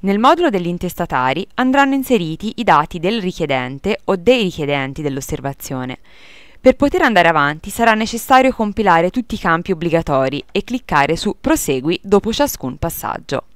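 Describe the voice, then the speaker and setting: Female tutorial narrator, formal scripted read, lowish and lively intonation, dry close-mic sound. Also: a little fast, no echo. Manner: formal, procedural.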